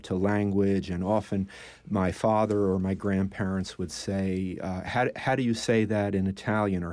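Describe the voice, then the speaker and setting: An older man, a poet, talking in a measured, thoughtful way as an interview guest, pausing often with "uh". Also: sits low, a little mellow, dry recording. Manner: thoughtful, measured, with frequent "uh" pauses. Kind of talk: conversational interview.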